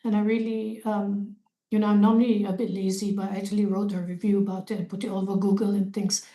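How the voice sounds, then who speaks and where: Mellow-voiced woman host in an echoey room. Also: mid-range voice, little pitch movement, fast talker. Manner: hesitant, with many fillers. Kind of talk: moderating a poetry discussion.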